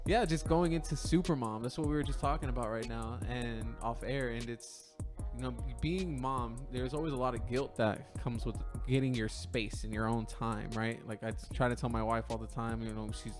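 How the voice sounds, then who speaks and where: Friendly male podcast host, mid-pitched voice. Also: moderate pace, hardly any pauses, over music. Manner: friendly, encouraging interviewer. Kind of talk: podcast hosting and interviewing.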